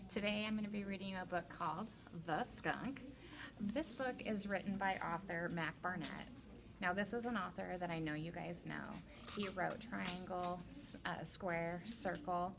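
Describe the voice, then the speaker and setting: A woman reading a children's picture book aloud as a teacher librarian, with deliberate, expressive storytelling. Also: lowish voice, gravelly, noisy background.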